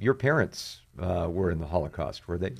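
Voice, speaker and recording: A man's voice: low voice, animated, fast, vocal fry, a little mellow, husky. A man hosting a talk show, asking a guest hesitant, restarting questions. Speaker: pastor and show host. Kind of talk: interviewing a guest.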